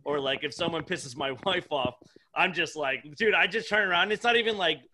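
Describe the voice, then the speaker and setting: He is a man in his thirties with a forceful, bright voice that sits a little high. He talks like a comic off stage on a podcast, casual and profane, peppering his thoughts with slangy asides. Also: fairly quick. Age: 30s. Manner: profane, informal, frequent "dude" and "like". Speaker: stand-up comedian, podcast guest.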